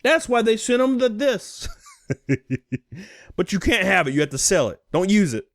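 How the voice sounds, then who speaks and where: A man's voice: high voice, big pitch swings, normal speed, a bit bright, no echo. A man giving casual, blunt and sarcastic commentary as a streamer reacting to a recording.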